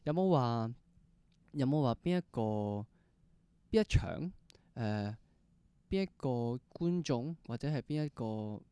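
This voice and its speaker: A man's voice: medium pitch, animated, takes it slowly, pauses often, warm tone, clear, resonant tone, no room sound. A man with a Hong Kong Cantonese accent, casually hosting an interview, repeating phrases and mixing in English words. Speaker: podcast interview host.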